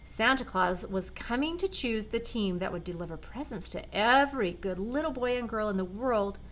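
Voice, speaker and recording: An older woman, a grandmother, reading a children's picture book aloud in a gentle, expressive way. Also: mid-range voice, normal speed, a little hiss or hum, low-quality recording. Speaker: storyteller grandmother.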